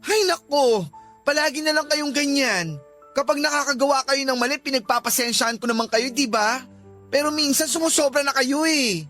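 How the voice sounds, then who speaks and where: Fed-up grown kid nagging mom, radio drama, really high sharp voice. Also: female voice, normal speed, faint music behind. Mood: frustrated. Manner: exasperated, nagging. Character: irritated adult child scolding an elderly mother. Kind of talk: Tagalog radio drama acting.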